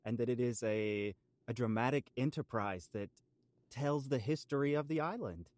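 A man plays a teasing small-island local on a comedy podcast. He has a mid-pitched, dry-recorded voice and speaks in halting, mock-formal phrases, proud of odd traditions. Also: darkish tone, no echo, close to an everyday voice. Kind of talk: improvised comedy podcast banter in character.

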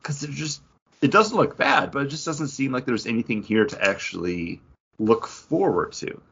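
Male podcast host, raspy and conversational, slightly dull recording, casual geek talk. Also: mid-range voice, animated, moderate pace, a bit bright. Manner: opinionated, conversational. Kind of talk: casual geek-news discussion.

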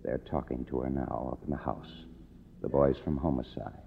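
An American man acting as a weary, cynical narrator of a tragedy in a 1950s radio drama, measured and wry. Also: rumbling low, steady tone, vocal fry, a little hiss or hum, exaggerated voice. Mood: wry, somber. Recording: muffled vintage radio recording, music underneath.

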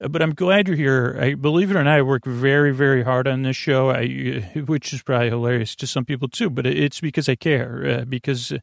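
A man hosting a bedtime podcast, rambling in a halting, meandering way and often trailing off mid-thought. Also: medium pitch, fairly even intonation, no echo, studio quality. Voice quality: creaky, gravelly. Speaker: podcast host.

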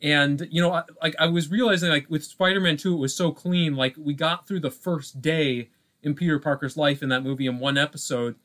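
Higher-pitched man chatting on a podcast. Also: steady tone, a little fast, a bit bright. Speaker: podcast co-host. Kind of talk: casual chat.

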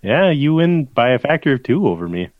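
A man co-hosting a casual sports podcast who talks in an animated, sardonic way. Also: mid-range voice, a little fast, dry recording, phone-quality sound. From small-town Minnesota. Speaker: podcast co-host.

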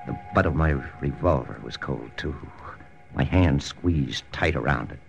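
A man's voice: very deep voice, unhurried, gravelly, heavily acted. A man playing a hard-boiled American spy lieutenant, narrating terse in first person in a 1940s radio drama. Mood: tense. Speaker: narrator and lead actor. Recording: old radio recording with hiss.

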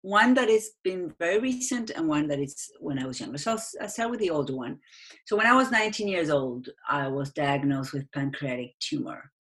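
Interview guest: Argentine-accented woman, deep for a woman, earnest and reflective. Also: animated, fairly quick, fairly bright tone. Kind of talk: conversational interview answers.

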